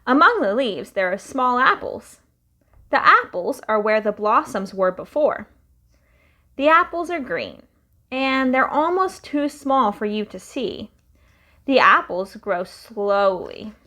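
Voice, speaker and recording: A young woman reading a picture book aloud to children in a slow, sing-song storytelling way. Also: a little high, big pitch swings, sharp tone.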